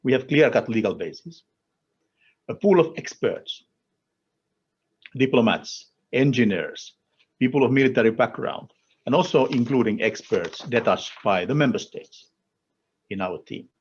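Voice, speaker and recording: A man from Finland with a Finnish accent delivers a formal policy speech as an official, in deliberate, emphatic short phrases. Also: medium pitch, expressive, husky, muffled recording. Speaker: European Commission official speaking at a conference.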